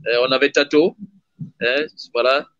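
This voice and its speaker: A man in his fifties, a former footballer, speaking as a guest in a measured way with many hesitations. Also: mid-range voice, fairly even intonation, fairly bright tone. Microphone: phone on a video call. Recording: dull video-call audio.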